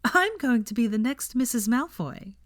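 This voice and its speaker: A woman's voice: fairly high pitch, animated, moderate pace, dry recording, studio quality, voice pushed up, lightly acted. A woman reading fan fiction, voicing a passionate, teasing young woman in a raised, emphatic voice. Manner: emphatic, heartfelt pleading.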